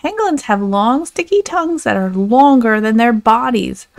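A woman's voice: a little high, lively intonation, normal speed, creaky, darkish tone. A woman voicing an earnest, exasperated pangolin who insistently corrects others in a read-aloud storybook. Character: exasperated, earnest pangolin trying to explain himself.

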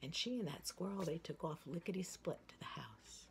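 Older female storyteller, 60s, low-pitched and highly animated, playful delivery for children, recorded at home with background noise. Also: normal speed, gravelly. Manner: expressive, playful, with repeated sing-song phrases. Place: indoors, room with wooden ceiling. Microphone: phone at arm's length. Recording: noisy home recording with room ambience.

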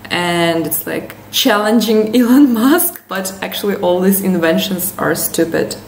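Young woman, Russian accent, native Russian speaker, casual lesson, unhurried, webcam in an echoey small room. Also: mid-range voice, animated, a little mellow, slight background noise. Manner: chatty, laughs often, many fillers. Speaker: language teacher.

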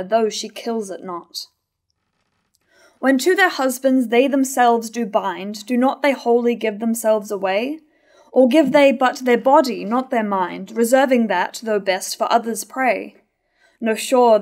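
A woman's voice: a little high, natural voice. A young woman reading a moralising chorus in a staged verse tragedy. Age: young adult. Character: moralising chorus judging a wife's virtue. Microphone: lectern microphone.

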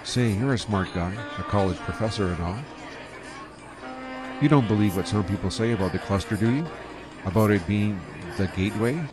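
A man playing a casual, low-voiced ship captain. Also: moderate pace, a crowd in the background, natural voice. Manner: casual, easygoing, matter-of-fact. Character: practical, profit-minded male ship captain. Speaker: spaceship pilot and narrator of his own story. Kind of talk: radio drama acting. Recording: dialogue over music.

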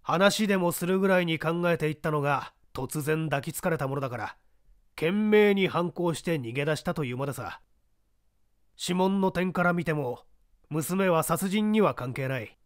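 A man reading a mystery aloud, playing a seasoned older investigator who explains the case in a measured, testing way. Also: fairly high pitch, lively intonation, no echo, clean studio sound, lightly acted. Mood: explaining.

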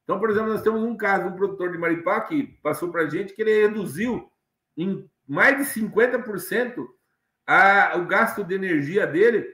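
A man's voice: high voice, normal speed, sharp tone. A middle-aged man giving an online lecture from his study, rambling with many fillers and restarts. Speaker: guest lecturer. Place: home study with bookshelves. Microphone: webcam on video call. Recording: echoey room on video call.

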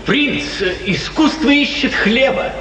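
An actor playing an older, deferential yet proud court painter, courteous and declamatory in a 1950s radio play. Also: male voice, extremely high pitch, animated, takes it slowly, full character voice. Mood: earnest. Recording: echoey, distant old radio recording, crowd-like noise.

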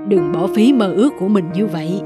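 A woman voicing a kindly, encouraging older neighbour in a cartoon, gently urging. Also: mid-range voice, fast talker, over loud music, natural voice. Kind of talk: cartoon dubbing.

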